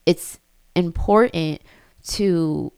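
A woman's voice: on the low side, drawn-out words, gravelly, a little mellow, smooth, no echo. A young woman thinking aloud in a personal reflective monologue, full of fillers and restarts. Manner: thoughtful, frequent fillers and restarts. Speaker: reflective speaker.

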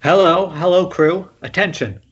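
A man's voice: high-pitched, moderate pace, breathy edge. A man playing a nervous, self-important writer directing his first film, chummy and casual. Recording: muffled, noisy internet call.

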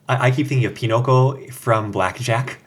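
A man's voice: mid-range voice, moderate pace, fairly bright tone, husky. A man co-hosting a casual podcast chat, explaining fan lore at length with jokes and asides. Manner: explanatory, joking, rambling with asides. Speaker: podcast co-host.

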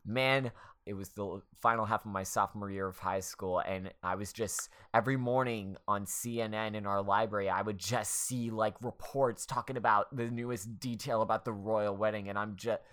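A woman's voice: rumbling low, fairly even intonation, normal speed. An American woman in her twenties co-hosting a casual comedic movie podcast, sarcastic and exasperated, with plenty of swearing. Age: 20s. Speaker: podcast co-host.

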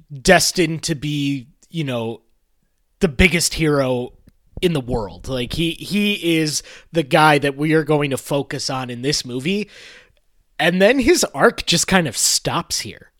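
Young male co-host, slightly high voice, hedging and rambling, dry close-miked sound. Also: a bit bright, dry recording. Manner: rambling, hedging, lots of "like" and "I guess". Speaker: podcast co-host. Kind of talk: casual movie discussion.

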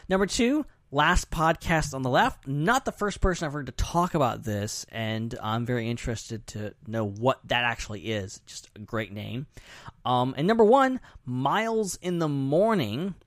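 A man's voice: mid-range voice, no room sound, studio quality. A man hosting a podcast in casual, informal chat, repeating short phrases for emphasis. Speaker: podcast host.

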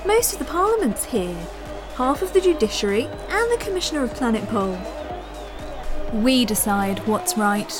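A decadent, gushing corporate president, voiced by a woman who drawls every word theatrically. Her voice sits high and swings widely in pitch. Music plays under the scene. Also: expressive, moderate pace, natural voice. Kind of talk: audio drama acting.

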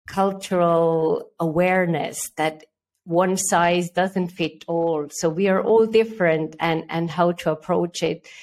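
A middle-aged woman from Estonia with an Estonian accent, answering interview questions as a guest with careful, halting phrasing. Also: lowish voice, darkish tone. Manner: careful, with repeated words and fillers. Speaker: guest, headhunter.

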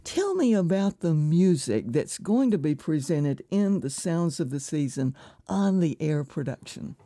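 Radio host, woman, mellow voice, conversational, dry close mic. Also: low voice, sing-song, measured pace, no echo. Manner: friendly, conversational questions. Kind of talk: radio interview hosting.

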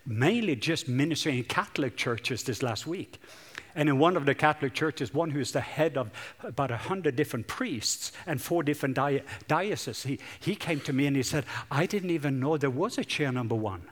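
A man's voice: on the high side, lively intonation, moderate pace. Man preaching from a lit stage. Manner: warm, joking with the congregation, loose rambling delivery. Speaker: guest preacher. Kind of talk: sermon. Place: large church hall with stage lights.

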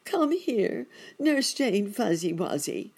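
A high, fretful old rabbit gentleman, as a woman reads him aloud. He wails and frets over a toothache, his voice leaping up in animated, quavering exclamations. The storybook voice has a slightly breathy, gravelly edge. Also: slow pace, heavily acted.

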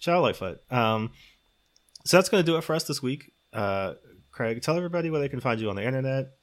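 A man's voice: mid-range voice, expressive, fast. A man hosting a podcast, talking casually as he gives recommendations. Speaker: podcast host.

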